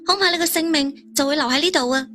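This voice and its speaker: A woman's voice: high-pitched, fast, pushed and bright, voice pushed up. A woman voicing a frightened, tender young woman who pleads anxiously in a narrated story. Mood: fearful, pleading.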